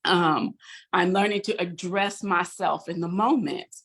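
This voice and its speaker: A woman gives a personal testimony over a video call, speaking earnestly and pausing often with fillers. Her voice sits on the lower side. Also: fairly bright tone, breathy edge. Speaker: guest.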